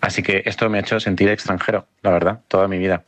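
Un hombre de Cádiz con acento español peninsular estándar, que graba un monólogo personal y articulado como oyente de radio. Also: male voice, deep voice, level tone, fast talker, a bit bright, dry recording. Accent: standard peninsular Spanish. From Cádiz, Spain. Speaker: radio listener sending a voice note. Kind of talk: personal voice-note monologue.

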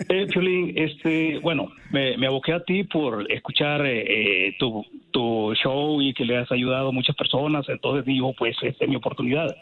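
Muffled Salvadoran man on a call. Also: mid-range voice. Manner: polite, halting. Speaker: caller to a radio show. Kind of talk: personal account in a casual radio call. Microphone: phone. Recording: dull band-limited phone line to radio show.

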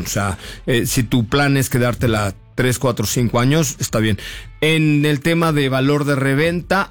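A man with a Mexican accent hosting a car show, chatting informally with slang and jokes as he reviews cars. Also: mid-range voice. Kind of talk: casual car review chat.

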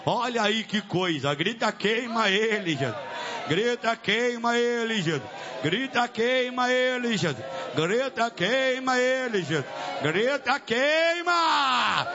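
A Brazilian man, a Pentecostal pastor, preaching a fiery deliverance prayer with forceful, repeated shouted commands in a dull church recording. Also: sits high.

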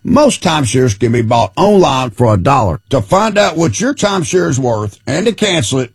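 Male spokesman, gravelly and raspy, lively pitch, blunt. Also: moderate pace, dry recording, studio quality. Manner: blunt, persuasive. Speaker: company founder in ad. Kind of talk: direct radio ad.

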